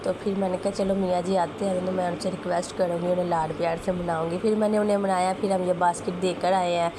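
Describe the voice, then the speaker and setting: A young woman with a sore, hoarse throat, chatting fondly as a family vlogger about her little daughter, often clearing her throat. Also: lowish voice, flat delivery, quick pace. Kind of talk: casual voice-over narration. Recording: voice with clear background noise.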